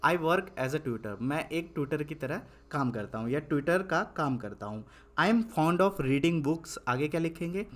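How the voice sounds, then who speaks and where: A young man giving an English lesson in Hindi. He asks questions and answers them himself, repeating points. When writing he slows to dictate one word at a time. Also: fairly high pitch, expressive, fairly bright tone. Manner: repetitive, asks and answers his own questions. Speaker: English tutor.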